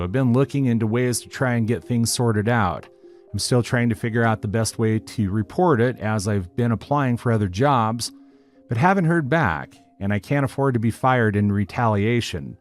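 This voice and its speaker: A man narrating a written online story in a steady, even reading style. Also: lowish voice. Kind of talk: scripted narration of a reddit post. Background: faint background music.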